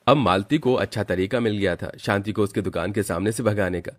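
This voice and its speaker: A man's voice: on the low side, fairly quick, slight background noise. A man giving scripted storytelling narration for a moral cartoon.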